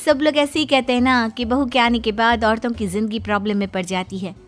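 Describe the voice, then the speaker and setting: A woman's voice: on the high side, fairly even intonation, brisk, natural voice. A woman voicing a stubborn, cheeky young bride who talks back in a cartoon family drama. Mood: teasing. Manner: pert, mock-sweet back-talk. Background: background music.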